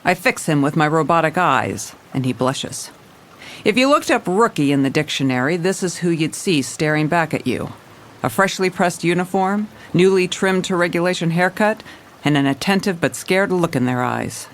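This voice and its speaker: An actress playing a jaded, wry police commissioner who narrates in hardboiled detective style in an audio drama. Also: female voice, fairly low pitch, expressive, normal speed, a little hiss or hum. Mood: wry, weary. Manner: wry, world-weary. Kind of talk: scripted noir detective narration with dialogue.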